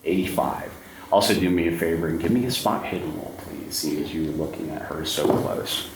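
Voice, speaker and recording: A man's voice: deep voice, fairly quick. A man acting as game master, narrating a tabletop horror game to players. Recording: echoey room, faint noise.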